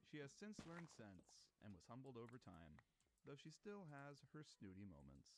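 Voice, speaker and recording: A man delivers scripted, documentary-style narration with a highly animated intonation at a middling pitch. He explains things emphatically and with enthusiasm. Some faint noise sits behind his voice. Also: moderate pace.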